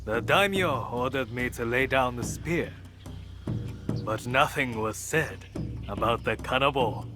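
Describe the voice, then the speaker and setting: An actor playing a solemn, righteous warrior teacher in a video game, speaking with deliberate gravity. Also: male voice, medium pitch, music in the background, lightly acted. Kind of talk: video game cutscene acting.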